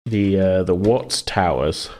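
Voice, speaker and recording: A man co-hosting a casual worldbuilding chat, hesitant and rambling as he thinks out loud. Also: sits low, slow. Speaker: podcast co-host.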